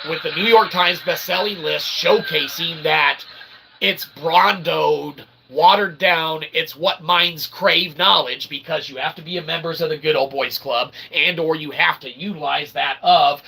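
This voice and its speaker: A man with a fairly high, gravelly voice talks straight to his audience in a rambling, opinionated monologue. He is wordy and emphatic, with a forceful edge and a habit of checking in as he goes. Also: measured pace, poor audio. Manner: verbose pseudo-philosophical phrasing, sweary, repeatedly checking "okay?"; emphatic, bursts into shouting. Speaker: self-published author and channel host.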